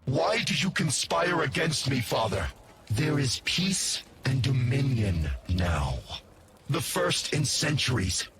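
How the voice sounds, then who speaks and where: An actor playing a scornful, imperious machine-being warlord in a video game, speaking with theatrical, deliberate menace. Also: male voice, mid-range voice, big pitch swings, music in the background. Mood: aggrieved, grandiose. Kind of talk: cinematic game villain acting.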